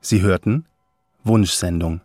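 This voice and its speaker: A man's voice: deep voice, slow, darkish tone, a little hiss or hum. A man reading a scripted credits announcement for a radio production. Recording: music behind. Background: music.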